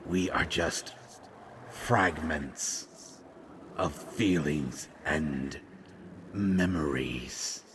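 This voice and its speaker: A man voicing a fragmented monster in an audio drama, a menacing yet regretful servant who talks haltingly and ominously. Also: very deep voice, background noise, heavily acted. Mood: somber, ominous. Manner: slow, halting, ominous, speaks of himself as "we". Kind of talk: scripted roleplay audio drama.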